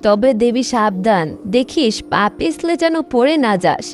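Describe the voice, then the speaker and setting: Dutiful village wife for a cartoon, played by a woman; highish, lively, earnest delivery. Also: unhurried. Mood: indulgent, cautioning. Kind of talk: cartoon voice acting.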